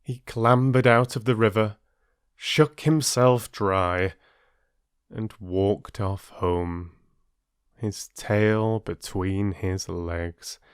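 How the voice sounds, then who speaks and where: A man working as a storyteller and host, speaking in an expressive, deliberate audiobook style. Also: lowish voice, clean tone, no room sound, clean studio sound. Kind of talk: expressive audiobook narration and listener address.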